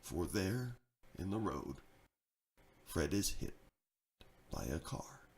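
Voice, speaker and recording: A man reading a rhyming story theatrically, swinging between hushed and excited delivery, with a gravelly creak in the voice. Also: deep voice, slow pace. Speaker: narrator, storyteller.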